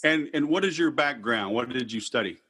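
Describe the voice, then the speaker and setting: A man's voice: medium pitch, animated, brisk, a bit bright, breathy edge. A man with a Texas accent hosting a sales podcast, talking in a casual, salesy, direct way. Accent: Texan. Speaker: podcast host. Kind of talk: podcast interview and self-promotion.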